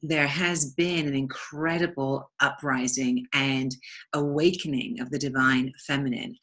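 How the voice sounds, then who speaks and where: A woman's voice: rumbling low, steady tone, unhurried, a bit bright. A woman with an English accent speaking as a guest in an interview, earnest and reflective in a flowing manner. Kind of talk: spiritual interview talk.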